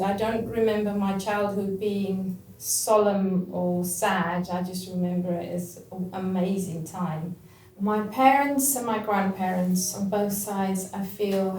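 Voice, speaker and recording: A woman from Namibia, fondly recalling her upbringing in a documentary interview. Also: medium pitch. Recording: echoey distant mic, faint music.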